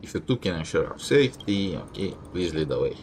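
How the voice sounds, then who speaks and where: A man's voice: low voice, big pitch swings, brisk, background noise. A man giving casual, irreverent gameplay commentary, heckling characters and reading dialogue aloud. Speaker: game streamer. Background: loud game music.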